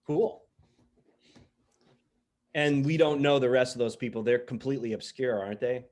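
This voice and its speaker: A man's voice: medium pitch, moderate pace, darkish tone. A man hosting a casual interview, speaking in a jokey, tongue-in-cheek, teasing way. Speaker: podcast interviewer and host.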